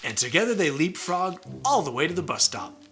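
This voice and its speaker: A man co-hosting and narrating an animated children's read-aloud, with joking asides. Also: on the high side, moderate pace. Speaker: co-host and storybook narrator. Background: music.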